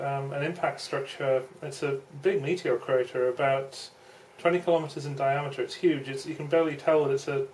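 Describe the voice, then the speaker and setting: A woman's voice: rumbling low, darkish tone, poor audio. A woman chatting casually as an amateur radio operator during a two-way contact. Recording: faint background radio hiss.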